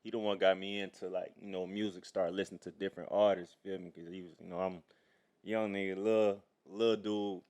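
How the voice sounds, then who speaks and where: A man from South Carolina, a guest artist talking casually in a relaxed, slangy, halting way. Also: low voice. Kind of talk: casual interview chat.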